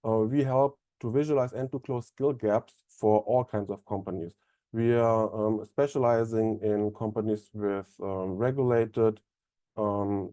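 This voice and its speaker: German accent guy doing a webinar, lowish voice, lots of ums, dry close mic. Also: no echo. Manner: relaxed, many fillers and restarts. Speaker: onboarding specialist presenting a webinar. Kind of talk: webinar presentation.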